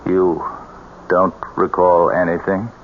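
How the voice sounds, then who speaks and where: An actor playing an earnest, authoritative military psychiatrist in a radio drama, measured and formal. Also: male voice, low-pitched, little pitch movement. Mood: patient, probing. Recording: dull old radio broadcast with hiss.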